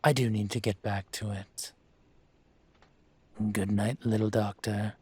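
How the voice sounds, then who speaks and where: Give the part of a dragon mafia boss to a man acting it out in an intimate audio drama. His voice sits fairly low and he speaks very slowly, measured and suave. He is patient, condescending and quietly menacing, with a teasing edge, in a dry, echo-free recording. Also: steady tone, husky. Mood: formal, composed. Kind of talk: scripted audio roleplay, intimate.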